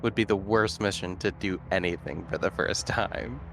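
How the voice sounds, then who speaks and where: A man's voice: deep voice, moderate pace, a bit bright. A man casually chatting as a player during a tabletop roleplaying game. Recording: faint noise behind the voice.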